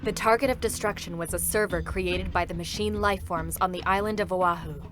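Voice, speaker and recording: A woman with a fairly low voice narrates a dramatic game story. Her delivery is measured and formal, clearly scripted, with music playing behind her. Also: fairly even intonation, fairly bright tone.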